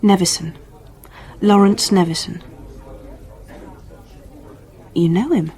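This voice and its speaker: A woman playing a guarded but frank escort in a radio play, speaking in a measured, wry way. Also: mid-range voice, dark tone, close to an everyday voice. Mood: guarded, confiding.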